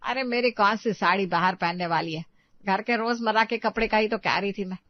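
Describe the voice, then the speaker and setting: A woman's voice: mid-range voice, fairly quick, dry recording, highs cut off, lightly acted. An actress playing an older, thrifty, scolding mother-in-law in a cartoon story. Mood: complaining.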